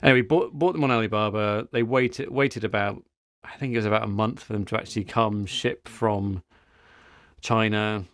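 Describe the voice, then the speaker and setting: A man's voice: lowish voice, fairly even intonation, moderate pace, a bit bright, dry recording, studio quality. A man co-hosting a podcast, telling a story in casual, rambling chat with frequent restarts. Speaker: podcast co-host.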